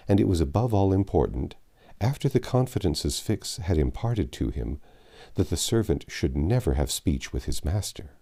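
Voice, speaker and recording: Male audiobook narrator, low-pitched, very varied intonation, classic adventure reading, measured dramatic phrasing. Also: warm tone.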